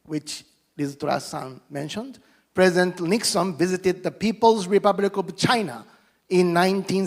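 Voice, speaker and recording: Gravelly Japanese-accented middle-aged man. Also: sits high. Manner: halting, with many restarts and self-deprecating jokes. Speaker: politician giving a guest speech. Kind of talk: formal speech in halting second-language English.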